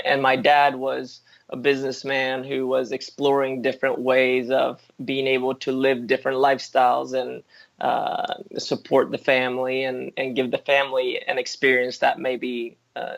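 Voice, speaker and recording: Man, gravelly voice, interview guest, thoughtful, pauses and restarts often. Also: medium pitch, poor audio. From born in the US, raised in Spain. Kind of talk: casual interview answers.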